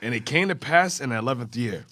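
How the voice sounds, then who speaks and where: A man reading scripture aloud in a measured, formal way during a study class. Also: medium pitch, animated, pushed and bright. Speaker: scripture reader.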